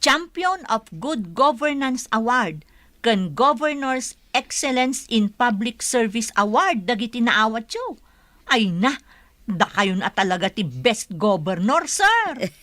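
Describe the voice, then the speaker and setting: A woman acting a cheerful, flattering admirer of a governor in a radio drama, gushing with drawn-out words. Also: fairly high pitch, dry recording, full character voice. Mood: flattering, delighted.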